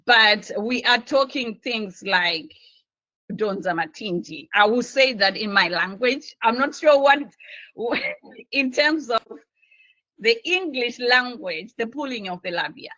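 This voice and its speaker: A woman's voice: fairly high pitch, animated, takes it slowly, sharp tone, no room sound. A woman from Zimbabwe, a social worker speaking earnestly on a panel and telling her own story with some restarts. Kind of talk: panel discussion on a video call. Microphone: video call. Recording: dull, dry video call audio.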